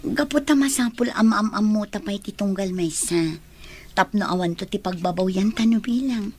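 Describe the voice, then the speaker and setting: A woman playing a guarded, quick-tempered young woman in a radio drama, with sharp, defensive outbursts. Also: medium pitch, slightly rough.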